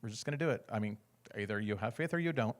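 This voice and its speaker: Lively storytelling American man. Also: on the low side, racing. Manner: acts out other people's lines, often laughing. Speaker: guest speaker sharing a testimony. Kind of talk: animated storytelling testimony.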